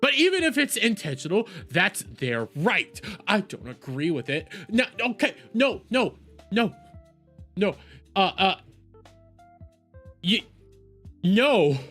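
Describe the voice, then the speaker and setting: Male reaction host, high and bright voice, exasperated, mocking rant with emphatic repetition. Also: big pitch swings, takes it slowly, long pauses, faint music behind. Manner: argumentative, exasperated, mocking, repeats phrases for emphasis. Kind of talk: heated opinion commentary, casual rant.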